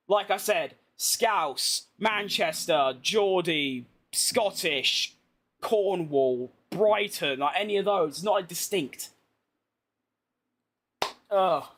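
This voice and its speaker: A man's voice: high voice, a bit bright. A tired British man streaming a racing game, chatting casually and rambling in a self-deprecating way. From Britain. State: tired from being awake all day. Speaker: gaming streamer. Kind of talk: casual live-stream gameplay chat.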